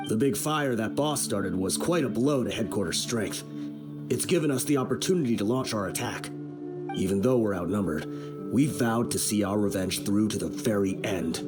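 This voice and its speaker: A man as solemn, low-voiced desert outlaw. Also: natural voice. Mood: vengeful. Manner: solemn, deliberate storytelling. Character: male desert outlaw leader, solemn, guilt-ridden, vengeful. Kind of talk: video game voice acting. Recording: music behind the voice.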